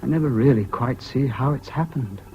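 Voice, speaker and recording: An actor in a British radio drama playing a troubled, excitable, self-doubting young man with an English accent and an earnest manner. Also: medium pitch, normal speed, close to an everyday voice. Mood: dejected, self-doubting. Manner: hesitant, earnest, swinging between gloom and giddy excitement. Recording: dull, hissy old radio recording.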